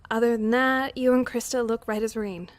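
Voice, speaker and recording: A woman's voice: on the high side, steady tone, moderate pace, natural voice. Busy, considerate young woman medic, played by an actress. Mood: matter-of-fact, reassuring. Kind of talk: amateur audio drama acting.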